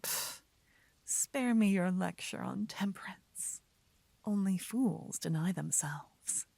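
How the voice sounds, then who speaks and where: A devoted, playfully teasing fantasy adventurer , acted by a woman, speaks to her beloved in a soft, breathy voice that often slips into whispers. Her delivery is slow, intimate and soothing, in romantic ASMR roleplay style. The sound is very dry and close to the microphone. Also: medium pitch, lively intonation, no room sound, exaggerated voice. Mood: devoted, earnest.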